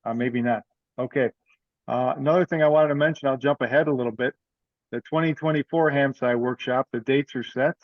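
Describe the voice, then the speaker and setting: Halting man presenting, dull dry call audio. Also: medium pitch, fairly even intonation, no echo. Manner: halting, thinking aloud while presenting. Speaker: online meeting presenter for an amateur radio group. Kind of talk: informal meeting update, unscripted. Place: dry room, on a video call.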